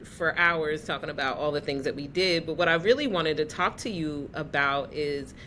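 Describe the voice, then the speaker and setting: A woman hosting a casual interview, pausing often with "um" as she gathers her thoughts. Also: low voice, a little hiss or hum. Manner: warm-hearted, searching for words with many "um"s. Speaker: podcast host.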